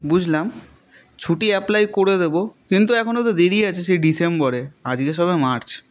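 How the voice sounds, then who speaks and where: A man's voice: sits high, moderate pace, pitched above the performer's own voice, lightly acted. A man playing a young office worker in colloquial, questioning dialogue with an old friend in a dramatized story. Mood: puzzled, animated. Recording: loud guitar music behind voice.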